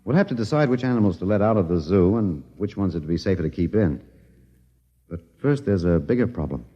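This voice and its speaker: A man's voice: deep voice, expressive, fairly quick, dark tone, long reverb tail, dull audio. An actor playing a wry, weary male survivor in a 1950s radio drama, with a hesitant, folksy, dryly joking manner on an old recording. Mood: resigned, resolute. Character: wry, weary, quietly brave male survivor of alien invasion.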